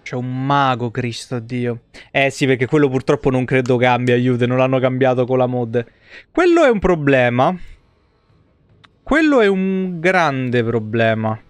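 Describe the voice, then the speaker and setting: A man's voice: mid-range voice, sing-song, a little slow, clear, resonant tone, no echo. A man, giving casual, blunt and sweary live game commentary to his chat. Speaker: live streamer. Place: indoor room at home, streaming setup. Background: video game music.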